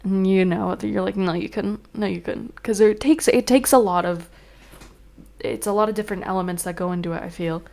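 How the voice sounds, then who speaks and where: A woman's voice: mid-range voice, fairly quick, vocal fry, darkish tone. A young woman vlogging in a chatty, rambling way, full of fillers, a little congested and sniffling. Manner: chatty, venting, exasperated. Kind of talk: casual chat.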